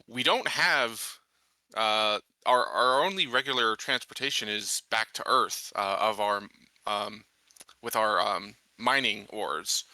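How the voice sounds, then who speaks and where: A man's voice: fairly low pitch, pushed and bright, no room sound, exaggerated voice, low-quality recording. A man playing a skeptical colony security officer in a tabletop game, asking blunt questions in a plain, hesitant way. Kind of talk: improvised tabletop roleplay dialogue.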